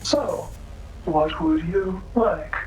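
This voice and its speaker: A female android bartender in a noir audio drama, low-voiced and unhurried. She is polite but sly, speaking in precise, halting, literal phrases over music. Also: darkish tone, low-quality recording. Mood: informative.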